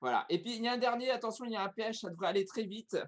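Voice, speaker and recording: A man's voice: sits high, very fast. A man hosting a live online talk show over a video call, running a playful food quiz with a teasing manner.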